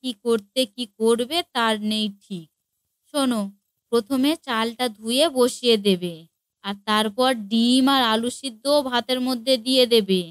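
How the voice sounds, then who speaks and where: A woman's voice: fairly high pitch, steady tone, unhurried, no echo, natural voice. A woman voicing a nagging, exasperated middle-aged mother-in-law in a comedy cartoon, with a sarcastic, lamenting way of talking. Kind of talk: Bengali comedy cartoon dialogue.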